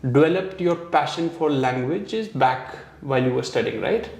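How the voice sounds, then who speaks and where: Gravelly Indian man hosting an interview. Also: mid-range voice, lively intonation, a little mellow. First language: Hindi. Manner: friendly, halting with fillers. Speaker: host. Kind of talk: casual interview. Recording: echoey room with faint ambience.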